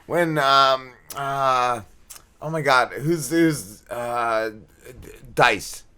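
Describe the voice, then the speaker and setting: A man's voice: medium pitch, very slow, sharp tone, clean tone. A man hosting a comedy talk show, chatting casually in an emphatic, repetitive way with loose language. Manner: emphatic, repetitive, freely swearing. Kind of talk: casual chat.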